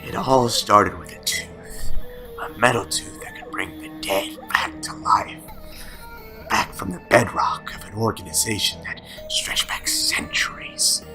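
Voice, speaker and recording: A man voicing a sinister, taunting, lonely madman in an audio drama, with a breathy near-whisper and slow, teasing, theatrical delivery. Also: fairly low pitch, natural voice, rough recording. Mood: ominous storytelling. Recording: background music under the voice.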